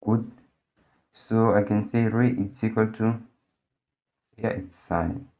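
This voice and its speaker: A man with a low voice, a native Portuguese speaker, talks through a physics problem very slowly. He thinks aloud and restarts his sentences often. The audio is dull and lo-fi. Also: little pitch movement, mellow. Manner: halting, thinks aloud with many restarts and fillers. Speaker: physics tutor. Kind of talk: working through a problem on a board.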